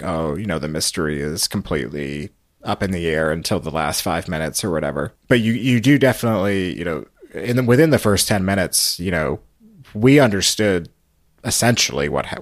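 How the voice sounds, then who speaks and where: A man's voice: deep voice, no echo, studio quality. A man hosting a podcast, talking in a casual, thoughtful, conversational way. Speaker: podcast host.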